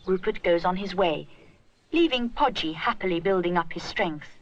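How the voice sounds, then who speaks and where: A woman's voice: lowish voice, expressive, normal speed, dull audio. A woman reading a scripted children's story expressively.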